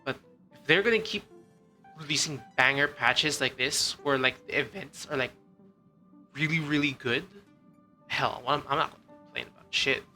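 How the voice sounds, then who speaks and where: A man's voice: medium pitch, normal speed, bright, forceful tone, soft background music. A man giving casual, rambling game commentary as a streamer, with lots of "like" and some casual swearing.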